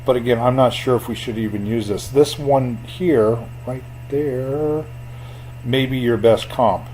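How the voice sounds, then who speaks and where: A man walking a viewer through comparing property sales on screen, in an plain, unhurried way with fillers and a voice he calls boring. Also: medium pitch, dark tone, slight background noise, poor audio. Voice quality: self-described boring voice. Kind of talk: screen walkthrough tutorial.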